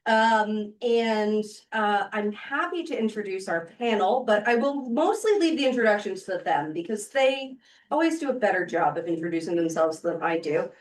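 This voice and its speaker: A woman's voice: medium pitch, lively intonation, moderate pace, echoey room, far from the mic. A woman introducing a panel at a breakfast meeting, talking casually with frequent ums and uhs. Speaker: event moderator. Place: meeting room.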